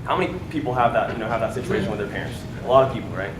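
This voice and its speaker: A man's voice: fairly low pitch, very fast. Young American guy, casual stage testimonial, filler-heavy; roomy hall sound, audible background noise. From United States. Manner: casual, many fillers and "right?" tags. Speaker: speaker at a sales event. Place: large event room before an audience. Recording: echoey room with background noise.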